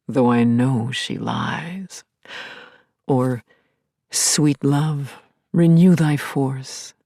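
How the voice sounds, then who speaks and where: An actress playing a grieving, lovelorn middle-aged therapist in an intimate audio play monologue, confiding and wry, lingering on words and dropping to murmurs. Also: female voice, extremely low pitch, mellow, no echo, clean studio sound, exaggerated voice. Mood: yearning, reverent. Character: grieving, lovelorn woman therapist, self-aware and yearning. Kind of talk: intimate first-person dramatic monologue.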